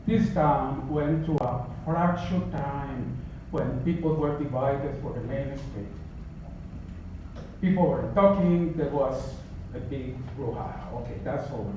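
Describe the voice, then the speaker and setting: A man's voice: mid-range voice, background noise. A man with a non-native English accent speaking as a resident during public comment at a town meeting, earnest with chuckling asides. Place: town office meeting room. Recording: echoey hall, distant mic, dull.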